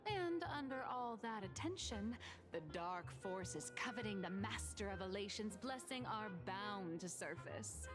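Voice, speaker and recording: A woman's voice: mid-range voice, animated, a little slow, lightly acted. A woman voicing a scheming, playful comic artist with a theatrical, wheedling manner in a video game. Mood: grandiose. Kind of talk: game voice acting. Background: game music.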